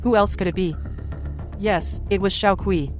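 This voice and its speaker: An actress playing a spiteful, haughty middle-aged aunt who talks in an accusing way. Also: female voice, medium pitch, measured pace, over music, close to an everyday voice. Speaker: scheming aunt in a family drama. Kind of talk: dubbed drama acting.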